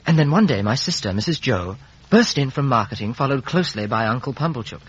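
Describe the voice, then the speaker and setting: Sober narrator, grown man looking back, slightly raspy, medium pitch, dull old radio drama. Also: lively intonation, fast talker. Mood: sober, reflective. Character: grown man soberly recalling his boyhood. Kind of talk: scripted first-person radio narration. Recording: dull vintage radio broadcast.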